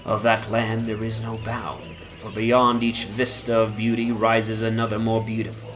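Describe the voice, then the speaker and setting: A man narrating literary fantasy as a dramatic audiobook reading, in a measured, solemn and theatrical manner. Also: lowish voice, completely flat delivery, fairly bright tone, slight background noise. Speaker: narrator, reader. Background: atmospheric music.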